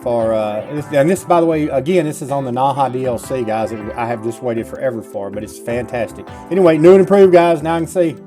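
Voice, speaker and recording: A man's voice: mid-range voice, quick pace, hardly any pauses, dark tone, faint music behind. A middle-aged man, casually commentating on gameplay and reading instructions aloud. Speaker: gaming video host. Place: indoors at a computer, webcam.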